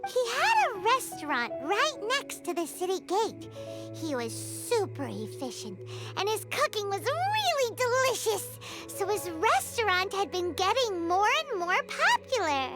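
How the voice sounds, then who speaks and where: A woman voicing a tiny, bubbly, bossy childlike fairy girl in an anime game, squeaky and excitable. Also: measured pace, exaggerated voice. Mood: eager storytelling. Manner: excitable, exclamatory storytelling. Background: music.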